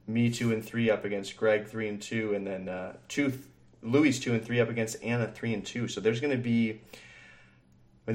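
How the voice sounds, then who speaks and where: A young man co-hosting a casual sports podcast, rambling with lots of fillers and easy laughs. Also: on the low side. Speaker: podcast co-host. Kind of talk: casual chat.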